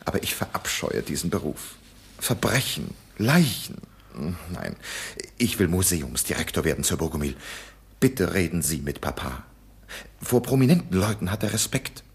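Casting: a sly, mock-courteous schemer, low husky voice, lively pitch. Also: male voice, normal speed, full character voice. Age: young adult. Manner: cocky, mock-polite. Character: cheeky scheming young man, detective's son.

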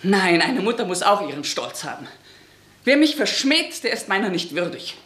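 A woman's voice: mid-range voice, very animated, moderate pace, a bit bright. An actress playing a proud, pious, middle-aged mother in a radio play, stern and reproachful. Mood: bitter. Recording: roomy echo.

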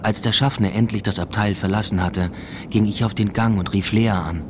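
Low-voiced, understated male narrator played by an actor. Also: fairly even intonation, normal speed, noisy background, natural voice. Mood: restrained. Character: restrained male university lecturer telling his story. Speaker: narrator and lead. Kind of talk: scripted first-person radio play narration.

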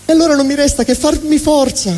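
A man's voice: extremely high pitch, fairly even intonation, fast, pitched above the performer's own voice. A man performing a theatrical comic stage monologue as an angry, vulgar but honest middle finger, ranting sardonically. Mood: indignant, wounded pride. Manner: ranting, sardonic, swooping between mutter and shout. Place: live stage at a fundraising event. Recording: echoey hall reverb, live stage.

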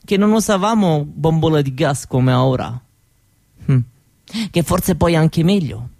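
A woman playing an old Roma grandmother in a radio monologue, gentle and wistful, speaking halting broken Italian with a Romani accent in short phrases with pauses. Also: sits low, gravelly, no echo, clean studio sound, exaggerated voice. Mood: fond reminiscing. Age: older.

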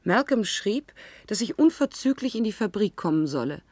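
An actress playing an earnest commune member, recounting events precisely and soberly in a 1970s German radio drama. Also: female voice, mid-range voice, big pitch swings, moderate pace, darkish tone, no echo. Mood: composed, factual. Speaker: radio play narrator.

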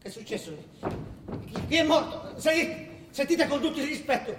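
An actor playing a timid, reluctant servant in a comic stage drama, stammering and repeating hesitant questions. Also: male voice, extremely high pitch, animated, moderate pace. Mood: baffled. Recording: echoey hall, distant mic, clear noise.

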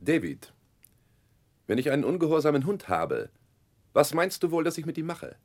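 A man's voice: a little high, very animated, moderate pace. An actor playing a cruel, domineering stepfather in a 1960s German radio drama, cold, menacing and commanding.

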